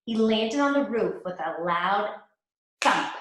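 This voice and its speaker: A woman teacher reading a fairy tale aloud to children, slowly and expressively. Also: medium pitch, animated, a bit bright. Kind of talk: read-aloud storytelling. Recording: echoey room.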